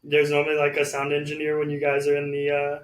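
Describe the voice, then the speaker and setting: A man's voice: on the high side, completely flat delivery, quick pace. A man hosting a podcast, chatting in a laid-back, slangy way full of "like". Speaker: podcast host. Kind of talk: casual interview chat. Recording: roomy echo, distant mic.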